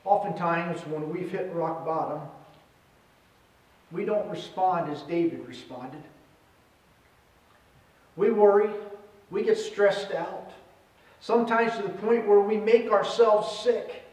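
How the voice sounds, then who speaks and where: Middle-aged man as preacher: high-set voice, short measured phrases, roomy church sound. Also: darkish tone. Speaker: pastor. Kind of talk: sermon with scripture reading. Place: indoors at a church pulpit. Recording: roomy echo, distant microphone.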